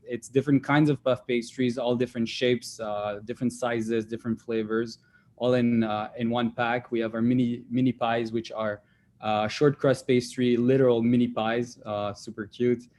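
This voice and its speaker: A man's voice: medium pitch, level tone, moderate pace, a little mellow. A young man from Belgium, a startup founder answering interview questions in a hesitant way, with many fillers and restarts. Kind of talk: livestream interview.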